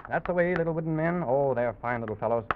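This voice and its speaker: A man's voice: mid-range voice, fast talker. Male children's storyteller on noisy old recording. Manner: simple phrasing aimed at young children. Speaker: children's show host and storyteller. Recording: noisy, muffled old radio recording with clicks.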